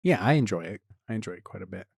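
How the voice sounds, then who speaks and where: A man hosting a podcast interview, talking in a relaxed, laid-back way and laughing often. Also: on the low side, racing, creaky, breathy edge, no room sound, clean studio sound. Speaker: podcast host. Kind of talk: casual interview chat.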